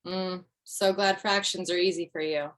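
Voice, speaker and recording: A woman's voice: fairly low pitch, fairly even intonation, moderate pace, lightly acted. A woman playing a dry, hesitant middle-aged widowed mother who used to do standup, in a comedy table read. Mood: sardonic. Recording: video call.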